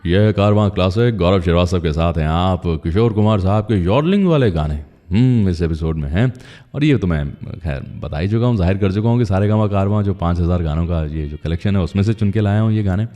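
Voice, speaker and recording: A man's voice: sits low, lively intonation, brisk, few pauses, warm tone, big-room sound. A man hosting a music radio show, telling anecdotes in a conversational, chatty way.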